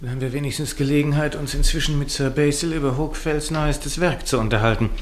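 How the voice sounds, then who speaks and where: Pedantic, mocking villain, fairly high male voice acted. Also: fairly even intonation, fast, close to an everyday voice. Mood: smug lecturing. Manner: pedantic, ironic. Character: suave, mocking, long-winded intellectual villain. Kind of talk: radio play acting.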